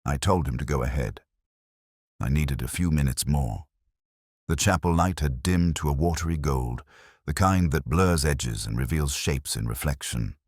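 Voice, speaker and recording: A man playing a melancholy police detective, narrating a mystery in a measured, literary, reflective way. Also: extremely low pitch, dry recording, clean studio sound, exaggerated voice. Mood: brooding. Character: brooding, melancholy male police detective narrating his case. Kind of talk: scripted first-person mystery narration.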